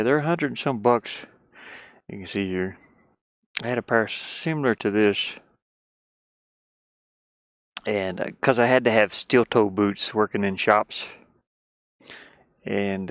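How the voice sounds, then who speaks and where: A man's voice: on the low side, no echo, clean studio sound. A man chatting casually on a livestream as he works, rambling and thinking aloud inside a van with a heater running. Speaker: game developer livestreamer. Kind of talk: casual livestream chat while working. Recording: background noise from diesel heater fan. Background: heater hum and clicking.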